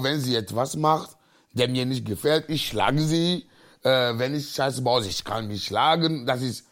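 A man's voice: medium pitch, fairly bright tone. A man from Africa, explaining things in a plain, repetitive way in unscripted interview speech. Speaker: interviewee reflecting on life back home. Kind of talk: unscripted explanation with personal examples.

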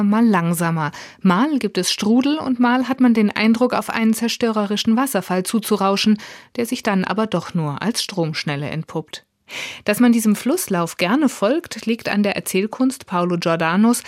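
A woman's voice: medium pitch, dry recording, clean studio sound. A woman with a standard German accent delivering a scripted radio review as a critic. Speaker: audiobook reviewer.

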